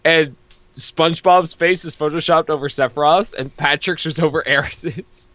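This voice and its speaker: A man's voice: fairly high pitch, fairly even intonation, moderate pace, bright, forceful tone, dry recording, lo-fi sound. A man chatting casually and comically as the co-host of a read-along. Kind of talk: casual comedic chat.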